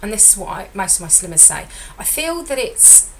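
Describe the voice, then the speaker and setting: A middle-aged woman with a slightly croaky voice, giving a chatty, rambling diet vlog update as a weight loss consultant and often correcting herself. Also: medium pitch, sing-song, brisk, fairly bright tone, a little hiss or hum, rough recording.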